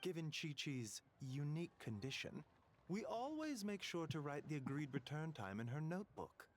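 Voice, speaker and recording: Courteous doctor with expressive intonation. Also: male voice, on the high side, slight background noise. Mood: measured, wary. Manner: formal, measured, polite. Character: composed, courteous doctor, concerned and measured. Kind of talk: video game voice acting.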